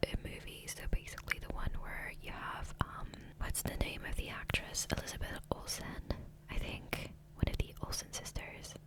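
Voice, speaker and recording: A woman whispering softly and breathily into a close microphone in a rambling, hesitant ASMR gameplay commentary, often trailing off. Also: moderate pace. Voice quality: soft, breathy unvoiced whisper. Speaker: ASMR gaming creator. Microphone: close USB condenser microphone.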